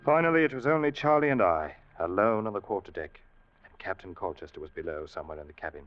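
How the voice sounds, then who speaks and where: A man's voice: low-pitched, lively intonation, fast, faint music behind. An actor playing a grave, loyal ship's officer telling his story dramatically in an old-time radio drama. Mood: somber. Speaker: radio actor, first-person narrator. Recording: muffled vintage radio recording.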